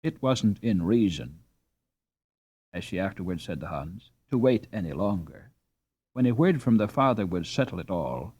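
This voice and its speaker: A man reading a classic children's novel aloud as a slow, expressive audiobook narrator. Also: sits low, slightly rough. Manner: slow, expressive, lively intonation. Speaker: audiobook reader. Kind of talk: scripted storybook narration.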